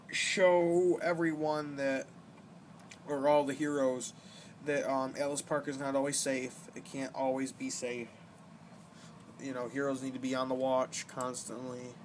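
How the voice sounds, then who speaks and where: A young man giving casual gameplay commentary, explaining things in a halting, rambling way as the host of a gaming video. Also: mid-range voice. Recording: noisy amateur home recording.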